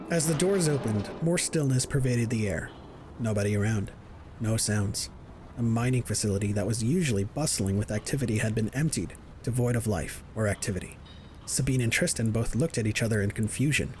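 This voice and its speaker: A man's voice: medium pitch, darkish tone, slight background noise. A man reading scripted narration for an audio drama.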